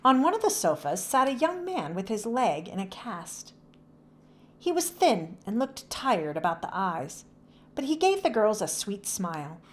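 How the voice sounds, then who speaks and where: A woman narrates a children's book aloud. Her pitch sits in the middle range and moves up and down a lot. The delivery is expressive, animated storytelling. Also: a little slow.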